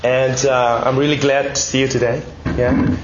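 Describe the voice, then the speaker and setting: A Malaysian man delivers a prepared, sermon-like talk in measured phrases, often posing questions to his listeners. His voice sits at an average pitch and he is getting over a cold. The room is echoey, with faint noise behind him. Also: level tone, dull audio. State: recovering from a bad cold, one ear blocked. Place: indoor room, speaking to an audience.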